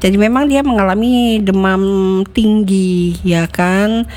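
A woman radio host with a medium-pitched voice. She chats casually and informally, often turning directly to her listeners as she talks. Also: slow, darkish tone. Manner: chatty, addresses listeners often.

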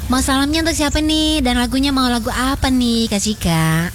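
Host: chatty woman, high voice, noisy room. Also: normal speed. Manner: chatty, laughs often. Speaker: radio host. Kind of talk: casual radio call-in chat.

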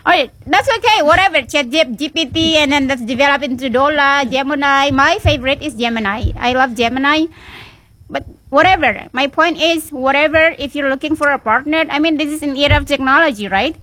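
A woman's voice: high voice, a little fast, pushed and bright, no echo, low-quality recording. Chatty woman radio host. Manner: chatty and encouraging, many restarts and fillers. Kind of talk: casual on-air chat with a guest.

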